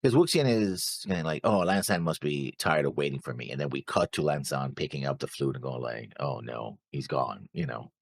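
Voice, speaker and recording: A man's voice: very deep voice, lively intonation, moderate pace, vocal fry, hardly any pauses, no echo, studio quality. A man co-hosting a casual discussion show, rambling through his notes and acting out imagined remarks. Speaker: reaction show co-host.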